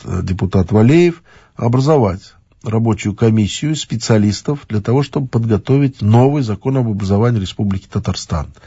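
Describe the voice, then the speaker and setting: A middle-aged man speaking as a regional official in a halting official address, with frequent fillers and restarts. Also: mid-range voice, mellow, breathy edge, no echo, lo-fi sound.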